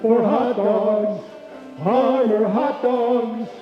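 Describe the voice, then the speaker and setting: High-voiced man doing a jingle, echoey hall. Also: steady tone, very slow, soft background music. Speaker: jingle singer. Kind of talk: performing a vintage sponsor jingle. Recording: echoey hall, dull sound.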